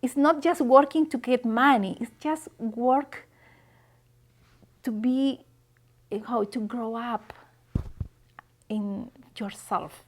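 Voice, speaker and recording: A woman speaks emphatic, encouraging in an interview. Her voice sits slightly high. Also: slow pace, pauses often. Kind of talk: casual interview talk.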